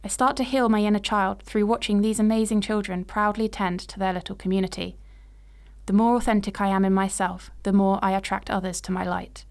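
A woman in her late twenties reads her own story in the present tense, with a smooth, clear voice. Her telling is measured and reflective, and her pitch sits in the middle range. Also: flat delivery, darkish tone. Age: 20s. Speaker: podcast host. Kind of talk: reading a personal story aloud.

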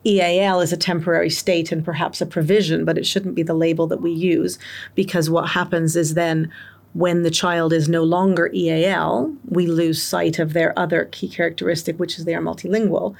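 Lady with a bit of fry in her voice, explains stuff at length on an interview. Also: fairly low pitch, flat delivery, fairly quick, a little mellow. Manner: articulate, explains at length with examples. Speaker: guest expert on multilingual education. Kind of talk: interview answers.

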